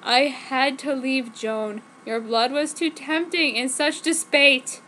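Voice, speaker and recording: A woman's voice: sits high, steady tone, slow pace, pushed and bright, slight background noise, full character voice. A woman voicing a solemn, brooding young vampire boyfriend, subdued and melodramatic, in a comic story reading.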